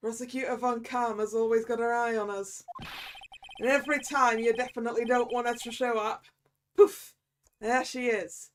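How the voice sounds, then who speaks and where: A woman voicing a gruff, chummy, easily flustered male detective with a rough London accent, reading out a video game. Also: on the high side, little pitch movement, moderate pace, a little hiss or hum, natural voice. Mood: anxious, emphatic. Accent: London cockney, slipping towards Scottish. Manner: blustering, ends remarks with "pal".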